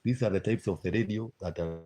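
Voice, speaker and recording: A Kenyan man chatting casually with viewers on a live stream, pausing often on "uh" and repeating himself. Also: fairly low pitch, warm tone. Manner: hesitant, frequent "uh" fillers, repeats himself.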